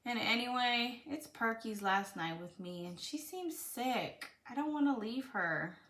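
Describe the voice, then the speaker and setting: A woman's voice: fairly high pitch, animated, a little slow, fairly bright tone. A woman voicing a worried young boy who looks after pets, read from a storybook. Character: young boy pet-sitter, earnest and worried. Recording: echoey room.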